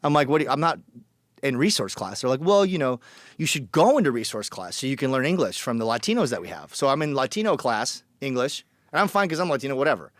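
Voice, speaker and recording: A man's voice: medium pitch, big pitch swings, fast talker, a bit bright, dry recording, clean studio sound. A man from Colombia telling his story as a podcast guest, blunt and joking, acting out the people he quotes. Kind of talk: casual storytelling.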